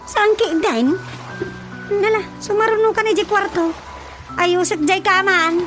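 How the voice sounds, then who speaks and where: A woman's voice: very high voice, measured pace. An actress playing an exasperated woman at home in a radio drama, with an Ilocano accent. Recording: music behind the voice.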